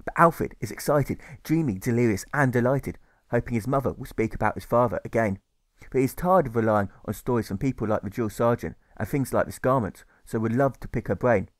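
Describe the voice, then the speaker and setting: A man's voice: mid-range voice, brisk, no echo, clean studio sound. A man narrating a rhyming novel as an author reading his own audiobook, with rhythmic, sing-song lists.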